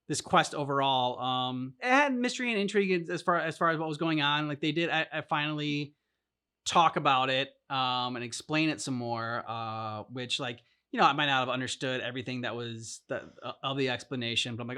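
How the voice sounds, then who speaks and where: A man speaks in a bright, keen-edged voice at an average pitch. He is a reaction show co-host chatting casually, with loose phrasing that stops and starts as he gathers his point. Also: smooth. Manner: halting, loose, conversational phrasing. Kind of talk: casual chat about a video game story.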